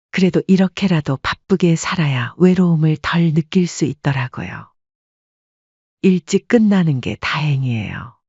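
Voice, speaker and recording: Woman as sad widowed grandma late 60s narrating her story, husky voice, bit muffled. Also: low voice, a little mellow, dry recording, full character voice. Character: sorrowful 68-year-old widowed restaurant-owner grandmother. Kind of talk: scripted first-person story narration.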